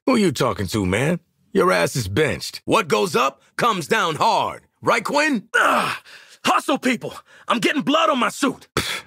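A man's voice: medium pitch, vocal fry, sharp tone, husky, dry recording, clean studio sound, exaggerated voice. A man voicing a cocky, wisecracking American assassin in a video game, with a sardonic, punchy delivery. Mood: urgent, fired up, then dry, mocking. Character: cocky, wisecracking male assassin-mercenary, adult sharpshooter. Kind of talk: video game character voice lines.

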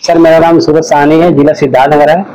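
Young male student, short polite replies, slightly high voice, crowd noise. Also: little pitch movement, fast talker. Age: young adult. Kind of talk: brief self-introduction. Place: crowded classroom, indoors. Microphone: handheld mic held by the interviewer. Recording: noisy classroom.

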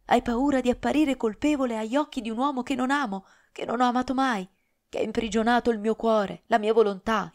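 A woman's voice: fairly high pitch, darkish tone, voice pushed up, close to an everyday voice. A woman voicing a passionate twenty-year-old noblewoman who pleads and protests with strong feeling. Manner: emotional, pleading and vehement.

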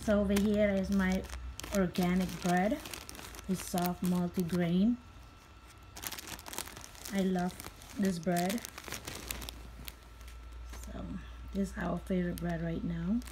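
A mother chatting casually off camera while showing her grocery haul, slow and full of ums. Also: on the low side, little pitch movement, mellow, slight background noise, low-quality recording. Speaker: vlogger, mother. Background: crinkling packaging and clicks.

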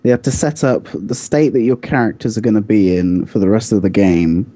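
A man's voice: fairly low pitch, brisk, mellow. A man co-hosting a podcast, talking casually in a back-and-forth discussion of video games. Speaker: podcast co-host.

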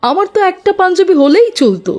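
A woman's voice: very high-pitched, very animated, fast, darkish tone. A woman voicing a polite, modest young boy, the son of a family, in a cartoon. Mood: polite, curious. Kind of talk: cartoon dialogue.

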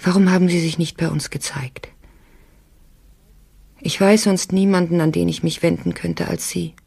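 Radio play role: desperate wife, breathy and creaky, hushed pleading. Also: female voice, fairly low pitch, steady tone, normal speed. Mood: anxious, pleading. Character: anxious, pleading wife writing for help. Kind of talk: audio drama, letter read as monologue.

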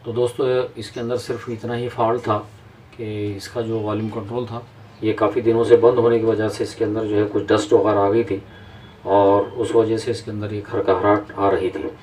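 Man, low-pitched, monotone, informal tutorial host, step-by-step explaining, slightly noisy home-made recording. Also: normal speed. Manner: explains step by step, addressing viewers. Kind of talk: informal hands-on repair tutorial.

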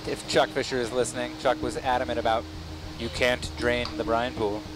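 A man working as a scientist gives casual live commentary on a dive, explaining things in halting, thinking-aloud phrases. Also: medium pitch, little pitch movement, over music, low-quality recording. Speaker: expedition scientist.

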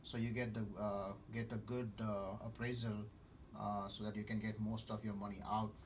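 A man's voice: fairly low pitch, little pitch movement, normal speed, a little hiss or hum. A middle-aged man in a small office, thinking aloud through figures while typing at his computer. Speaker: real estate investor. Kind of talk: casual phone discussion. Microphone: far camera. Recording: distant mic, faint keyboard typing.

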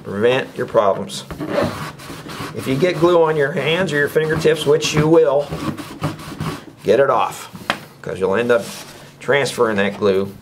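A man's voice: mid-range voice, animated, unhurried, pauses often, noisy background. An older man giving a casual hands-on woodworking demonstration, chatty and folksy, often tossing out rhetorical questions. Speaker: woodworking instructor. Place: indoors at a workbench.

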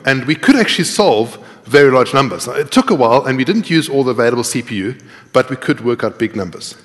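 A middle-aged man giving a live coding talk, explaining step by step in a conversational way and putting questions to his audience. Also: medium pitch. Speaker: conference speaker and programming instructor. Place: large tiered lecture auditorium, indoors. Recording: reverberant lecture hall echo.

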